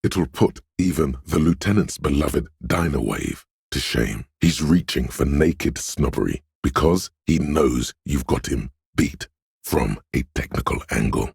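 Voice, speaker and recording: An actor voicing a man's brooding, tactile inner voice in a game, narrating with husky gravel in a deliberate, dramatic, literary manner. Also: a bass voice, lively intonation, darkish tone, dry recording, clean studio sound, heavily acted. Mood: wry, measured.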